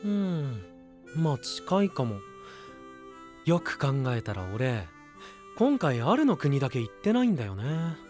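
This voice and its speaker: An actor playing a laid-back, friendly young knight in an anime voice drama, with a soft, casual manner. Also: male voice, a little high, sing-song, measured pace, long pauses, soft background music. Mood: gentle teasing.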